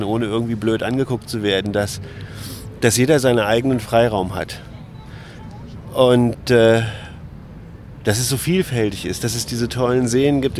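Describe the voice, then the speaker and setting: A man's voice: mid-range voice, a little mellow. Candid man, noisy outdoor recording. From Berlin, Germany. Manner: candid, conversational, searching for words. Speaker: interview guest. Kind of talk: casual reminiscing in an interview. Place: outdoors on a large urban lawn.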